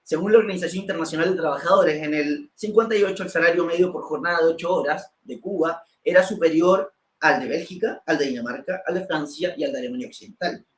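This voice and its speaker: A man's voice: a little high, quick pace, hardly any pauses. A man presenting a political interview show as host, reading from prepared notes. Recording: roomy, echoey room sound.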